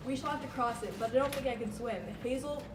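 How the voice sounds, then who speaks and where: A woman's voice: sits high, fast talker, distant mic, close to an everyday voice. Woman playing anxious little rabbit, noisy echoey room. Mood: worried. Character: small, worn-out, anxious yet insistent male rabbit. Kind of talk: amateur student puppet show dialogue.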